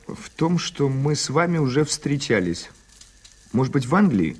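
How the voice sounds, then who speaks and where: An actor playing an eager, curious newspaper journalist with a playfully dramatic streak in an early 1980s radio play. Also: male voice, medium pitch, sing-song, moderate pace, breathy edge.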